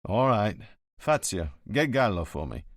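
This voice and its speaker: A curt, commanding police inspector, as a male reader gives him voice, speaking in short, dry orders. The voice sits at a middle pitch with a lively rise and fall. The sound is close and dry. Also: a little slow, no room sound. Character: brusque no-nonsense police inspector, adult man. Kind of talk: audiobook character voice.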